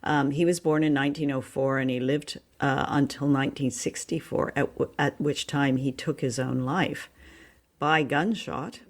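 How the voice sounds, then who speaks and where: A woman co-hosting a podcast, telling things informally in a halting, conversational way. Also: rumbling low, steady tone. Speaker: podcast co-host. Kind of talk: casual chat, informal storytelling.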